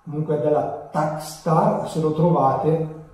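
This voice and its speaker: A man in his thirties talks through a casual tutorial from across a very echoey room. His delivery is slow and hesitant, often pausing and repeating himself. The tone of his voice is soft-edged and mellow. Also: on the high side, steady tone. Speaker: motovlogger presenting a tutorial. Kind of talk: casual unscripted tutorial talk. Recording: echoey room, distant camera mic.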